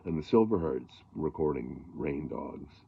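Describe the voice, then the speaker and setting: A middle-aged man with a gravelly voice, talking casually about harmonicas in a rambling way, with lots of fillers and throat clearing. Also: a bass voice, lively intonation, unhurried, phone-quality sound. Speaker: blues harmonica player. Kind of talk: casual talk to camera.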